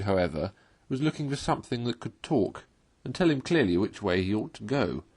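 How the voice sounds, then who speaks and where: A man narrates a classic children's story in a slightly low, husky voice. His reading is expressive and highly animated, with wide movement in pitch.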